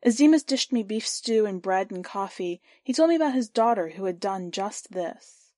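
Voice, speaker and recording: A woman's voice: mid-range voice, animated, mellow, no room sound. Woman reading a literary story, dry close sound. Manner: measured, clear first-person storytelling. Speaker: podcast story reader. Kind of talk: scripted narration of literary fiction.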